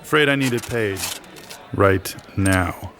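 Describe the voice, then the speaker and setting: A firm male barkeep played by an actor in an audio drama. His voice is low and carries a threat. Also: takes it slowly, gravelly, a bit bright, a little hiss or hum, heavily acted. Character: firm, threatening male island bar keeper.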